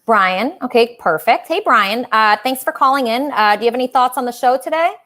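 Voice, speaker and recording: A woman's voice: fairly high pitch, expressive, fairly quick, a bit bright. A woman hosting a casual livestream talk show, chatting with callers in a gushing, emphatic way.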